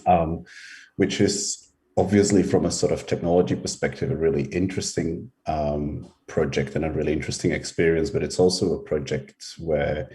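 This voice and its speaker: A middle-aged man from Belgium, a researcher, giving a conference presentation over a video call, relaxed and hesitant with frequent ums. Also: a bass voice, normal speed. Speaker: researcher and symposium speaker.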